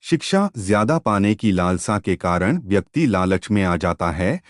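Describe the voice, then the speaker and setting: A man narrating a scripted moral tale in a measured, expressive storytelling manner. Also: deep voice, no room sound, clean studio sound.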